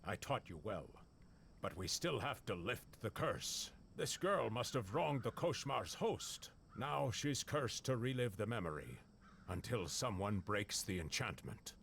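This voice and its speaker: An actor playing a stern, authoritative master monster hunter in a video game, grave and instructing. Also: male voice, fairly low pitch, lively intonation, a little slow, vocal fry, a bit bright, a little hiss or hum, exaggerated voice.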